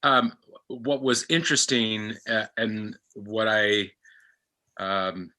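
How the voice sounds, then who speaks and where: A man in casual, reflective discussion, speaking earnestly in halting, repeated phrases. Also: on the low side, fairly even intonation, bright, forceful tone. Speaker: actor and podcast panelist.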